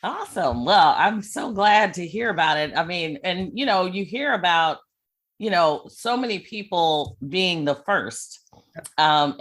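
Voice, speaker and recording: A woman's voice: fairly low pitch, a bit bright. A middle-aged woman hosting a podcast interview, casual and encouraging with her guest. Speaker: podcast host.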